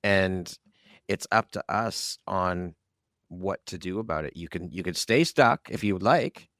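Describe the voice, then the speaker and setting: A man's voice: low voice, unhurried, a bit bright, dry recording, clean studio sound. A man hosting a conversational interview podcast, talking in a candid, informal way. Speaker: podcast host.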